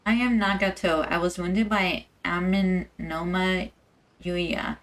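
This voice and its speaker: A woman's voice: lowish voice, steady tone, slow, pitched below the performer's own voice. A woman voicing a wounded, remorseful man reading out his last letter from a video game, subdued and weary. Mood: pained, bitter.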